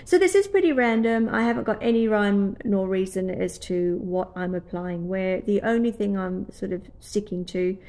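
A woman's voice: medium pitch, moderate pace, few pauses, dark tone. Nasal Australian woman with a cold. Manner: chatty, explanatory, apologetic asides. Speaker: nail tutorial host. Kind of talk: voice-over nail tutorial.

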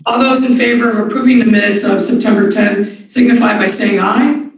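A woman's voice: medium pitch, fairly even intonation, a little fast. A woman chairing a formal board meeting in a procedural manner, with frequent fillers, in a large wood-panelled office. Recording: echoey room from far off.

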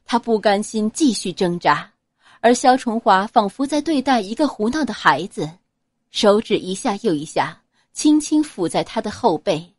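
A woman reads a serialized novel aloud with expressive flair. Her pitch moves widely through the lines, and the sound is dry and close, with no room around it. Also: normal speed, no echo. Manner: expressive, dramatic reading. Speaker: audiobook narrator. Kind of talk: storytelling narration of a serialized novel.

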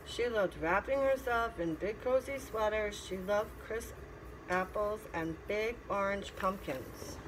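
A middle-aged woman reads a picture book aloud as a teacher, pausing to explain and ask simple questions. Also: mid-range voice. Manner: instructive, asking simple questions. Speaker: teacher reading to children. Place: classroom. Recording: clear background noise.

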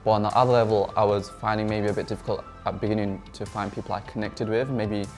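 Lowish-voiced young southern English man. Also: fairly even intonation, quick pace, darkish tone. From Kent, England. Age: early 20s. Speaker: university student. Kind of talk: informal interview to camera. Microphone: lapel mic. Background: background music.

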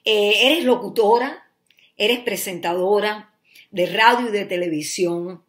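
A woman's voice: medium pitch, unhurried, fairly bright tone. A woman hosting a friendly interview in a home studio, effusive, with many hesitations. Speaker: talk show host.

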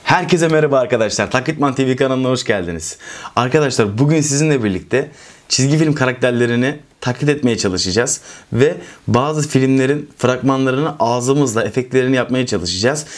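A man's voice: medium pitch, brisk. A man, hosting a video in a casual chat to camera from a room at home. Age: 20s. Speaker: host of a video channel. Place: small furnished room at home.

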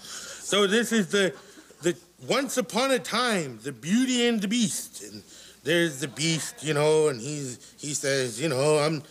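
A man's voice: a little high, lively intonation, a little slow, fairly bright tone. A man playing a bumbling, tipsy elderly children's storytime host in a comedy sketch, stammering and losing his thread. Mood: rambling, muddled. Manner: rambling, stammering, loses his thread, trails off. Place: living-room studio set with audience. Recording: noisy TV studio, audience behind.

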